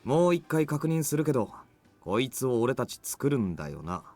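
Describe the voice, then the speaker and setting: A man's voice: mid-range voice, sing-song, a little mellow, faint music behind. Brash teen boy. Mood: skeptical. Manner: rough, offhand and quick. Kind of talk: Japanese game voice acting.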